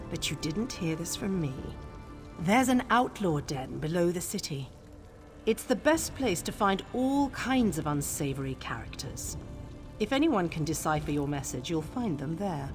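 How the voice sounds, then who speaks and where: A vain, aloof guild mage from a fantasy game. Her voice sits a little low and moves expressively. She speaks in a measured, refined way, with music clearly behind her. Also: big pitch swings. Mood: discreet. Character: world-weary, vain mage woman of the guild. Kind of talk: video game character dialogue.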